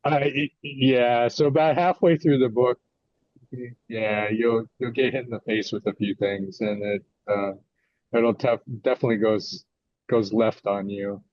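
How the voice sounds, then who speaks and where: An older American man answering interview questions as a guest author, conversational and a little halting as he thinks his answers through. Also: medium pitch, fairly even intonation, no room sound. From United States, California. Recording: dull lo-fi audio.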